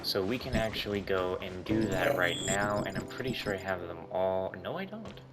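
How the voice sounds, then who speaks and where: A man's voice: low voice, animated, normal speed. A man giving casual, rambling gaming commentary, reacting aloud as he plays. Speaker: gaming YouTuber. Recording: loud game music behind commentary.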